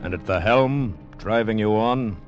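An actor playing an ominous teller of perilous adventure, menacing and suspenseful, in a 1940s radio teaser. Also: male voice, fairly low pitch, a little slow, natural voice. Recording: muffled vintage radio, prominent music.

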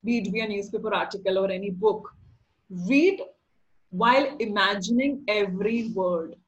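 A woman's voice: medium pitch, normal speed, highs cut off. A middle-aged woman with a slightly nasal voice, a voice-over artist talking casually as a podcast guest, instructive and playful. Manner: instructive, playful, laughs often. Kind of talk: casual interview.

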